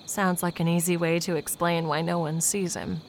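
An actress playing a weary, uneasy traveler, narrating a horror drama in a measured, brooding, subdued way. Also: female voice, fairly low pitch, little pitch movement, slight background noise, lightly acted. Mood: wary. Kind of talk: first-person horror audio drama narration.

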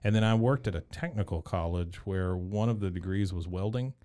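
A man's voice: low-pitched, a little fast, dark tone, clear, resonant tone. A man co-hosting a podcast, chatting casually and joking, sometimes trailing off into a mumble. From born in Taiwan, raised in Texas. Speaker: podcast co-host. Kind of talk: casual chat.

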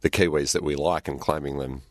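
A man's voice: very deep voice, fairly even intonation, brisk, no echo, clean studio sound. A man hosting an interview, asking questions and affirming often with short responses. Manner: affirming backchannels, friendly chuckles. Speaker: radio interviewer host.

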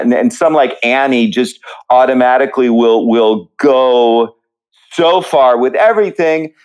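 A man reflecting in an interview as a theatre writer, speaking haltingly and pausing often mid-thought. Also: medium pitch, clean tone, poor audio. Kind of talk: reflective panel interview talk.

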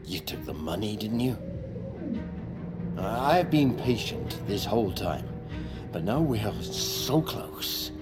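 An actor playing a stern, obsessed expedition leader in an audio drama, commanding and intense. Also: male voice, lowish voice, expressive, takes it slowly, pauses often, music in the background. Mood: obsessed, menacing. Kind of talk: audio fiction drama acting.

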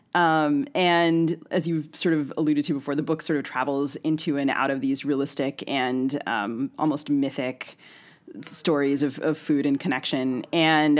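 A woman co-hosting a literary podcast, talking in an articulate, chatty way and building long, winding questions. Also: low-pitched. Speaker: podcast co-host, interviewer. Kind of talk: conversational literary interview.

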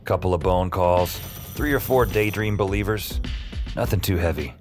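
An actor plays a wry, awkward man new to a magical world. His voice is low, his delivery slangy and hesitant. Music plays clearly behind him in this audio drama. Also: normal speed, natural voice. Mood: wry narration. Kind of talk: first-person narration and dialogue in audio drama.

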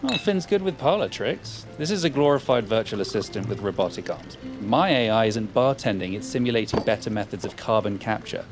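A man's voice: on the low side, lively intonation, brisk, few pauses, music in the background. A man playing a competitive, self-important futurist in an audio drama, articulate and superior with dry wit. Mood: dismissive.

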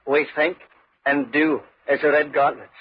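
A man's voice: a little high, fairly even intonation, unhurried, natural voice. An actor playing a humble, uneasy tenant farmer who tells his tale in earnest, folksy 1940s radio style. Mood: uneasy, defensive. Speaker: narrator and lead character. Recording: muffled, noisy 1940s radio recording.

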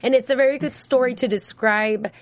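A woman co-hosting a casual TV recap, lively and teasing, laughing often. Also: fairly high pitch, fairly quick, no echo. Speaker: podcast co-host.

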